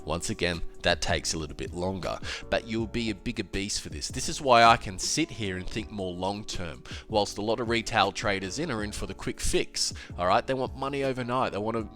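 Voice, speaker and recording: A man with a stuffed-up, slightly nasal voice, talking casually and emphatically about trading in a solo video. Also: lowish voice. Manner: animated, emphatic, with filler and restarts. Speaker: crypto trading educator.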